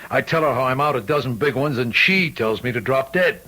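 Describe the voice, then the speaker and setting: An actor playing a gruff, shady restaurant owner in an old-time radio mystery, slangy and defensive. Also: male voice, medium pitch, lively intonation, a little fast, sharp tone, rough recording. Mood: grudging.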